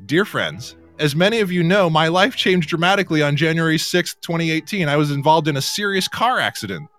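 A man's voice: on the high side, expressive, a little fast, a bit bright, faint music behind. A man hosting a casual live podcast chat, tossing in wry, incredulous asides. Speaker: podcast host.

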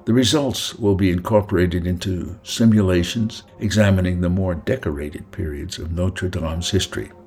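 A man's voice: sits low, moderate pace, hardly any pauses, darkish tone. A man hosting an interview podcast, speaking as presenter and interviewer. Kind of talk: scripted introduction, then unhurried interview talk.

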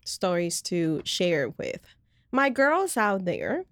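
A woman with a slightly low voice tells her own story as a podcast host. She talks earnestly and loosely, full of fillers and restarts. The recording is close and dry. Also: sing-song, slow pace, no echo. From Colombia. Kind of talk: personal faith testimony in casual chat.

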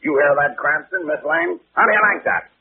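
An actor playing a gruff, blustering police commissioner with an American accent, in brusque 1940s radio drama style. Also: male voice, fairly high pitch, normal speed, close to an everyday voice. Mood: agitated, urgent. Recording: scratchy, narrow-band old radio recording.

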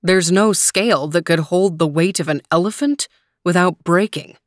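A woman's voice: fairly low pitch, normal speed, no room sound, clean studio sound, close to an everyday voice. A woman voicing a stern, commanding old emperor in a children's storybook reading.

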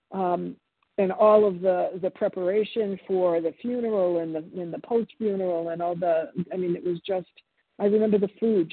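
A woman in her seventies whose first language is Italian, sharing her story at a recovery meeting in a halting, reflective way with many pauses and restarts. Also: fairly low pitch, vocal fry, slightly rough, no room sound. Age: 70s. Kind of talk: personal testimony at a recovery meeting. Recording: phone-line audio.

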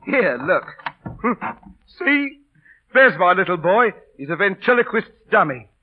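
A cocky, kindhearted London street performer. His voice is fairly high and swings up and down with wry, theatrical flair. It sounds like a muffled, narrow-band radio play from the 1930s or 40s. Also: sing-song, a little slow, husky, exaggerated voice. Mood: breezy, bantering. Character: cocky, teasing, kindhearted working-class London busker. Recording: muffled old radio broadcast recording.

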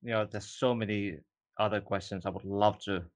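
A man from Estonia, whose first language is Estonian, hosting an interview in a relaxed way, with frequent "you know". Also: lowish voice, brisk. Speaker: interview host. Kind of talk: casual interview.

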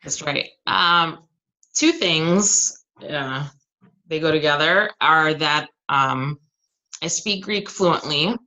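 A woman's voice: low-pitched, slow pace, sharp tone, rough recording. A woman from Southern California and Arizona, a kindergarten teacher, talking in a friendly, chatty way in a casual podcast interview and laughing easily.

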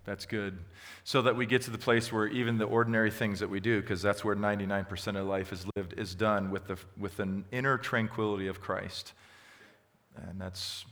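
A man leading a church group session, answering questions in a conversational, gently encouraging way. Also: lowish voice, steady tone, moderate pace. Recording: long reverb of a hall.

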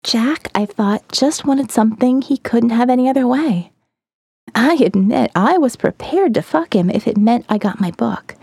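A woman's voice: on the high side, animated, moderate pace, mellow, slightly rough, no room sound, clean studio sound. A woman voicing a candid, amused and wistful young woman in an audio novel, with a shrugging manner that tends to trail off. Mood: indifferent, candid.

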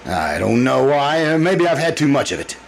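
A man's voice: medium pitch, sing-song, normal speed, vocal fry. Troubled, hesitant businessman played by a man, noisy recording. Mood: uneasy, resentful. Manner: hesitant, stammering. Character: troubled, anxious businessman confessing violent urges to his doctor. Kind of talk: old-time radio drama acting.

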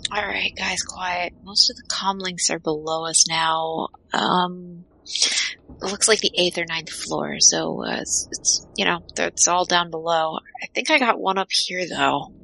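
A slightly low, bright-voiced female tech scout, played by an actress, talks informally with a cheeky streak. It is audio drama, with faint noisy ambience around her. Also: measured pace, creaky, heavily acted. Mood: focused, wary.

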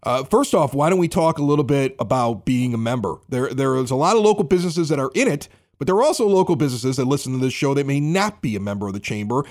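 A man's voice: medium pitch, animated, fast talker, hardly any pauses, a little mellow. A man hosting a local podcast in a chatty, conversational style. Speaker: podcast host. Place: home basement bar studio.

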